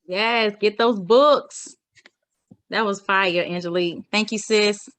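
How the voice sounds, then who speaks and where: A woman's voice: mid-range voice, slow, fairly bright tone. A woman hosting an online poetry event, hyping each poet in a casual, upbeat way. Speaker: host.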